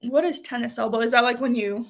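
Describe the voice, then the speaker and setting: A woman's voice: on the high side, steady tone, quick pace, vocal fry. A woman in her twenties hosting a casual live chat and reading out comments in a chatty, giggly way. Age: 20s.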